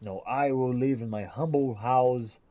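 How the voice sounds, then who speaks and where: A man's voice: medium pitch, a little slow, no echo, narrow, tinny audio. A man giving gameplay commentary in character, talking theatrically to the game's characters. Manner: theatrical, talks to game characters. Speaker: player roleplaying a game character.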